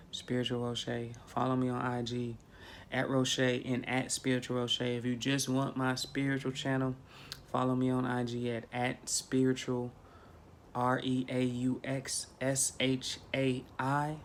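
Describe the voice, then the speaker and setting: A man's voice: mid-range voice, completely flat delivery, measured pace, slight background noise. A man in his thirties talking casually into a phone camera in a small bedroom, preachy and emphatic, repeating himself for effect. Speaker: spiritual vlogger. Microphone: phone camera at arm's length.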